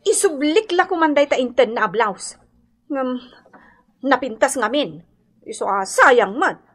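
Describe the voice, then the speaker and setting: A woman's voice: high voice, lively intonation, measured pace, fairly bright tone, full character voice. A woman playing a stingy, easily affronted wife who complains whinily in an Ilocano radio drama. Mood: indignant.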